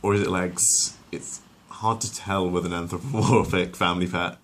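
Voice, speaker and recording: A man's voice: sits low, steady tone, moderate pace, fairly bright tone, a little hiss or hum. A man narrating a story as its host, dramatic in the telling and casual in his asides.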